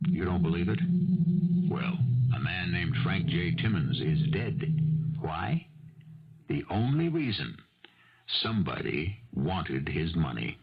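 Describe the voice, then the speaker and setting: A man hosting a 1970s radio mystery with scripted narration, measured, theatrical and wry. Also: low voice, very animated, vocal fry. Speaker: host and narrator. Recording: tinny old radio broadcast. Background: music.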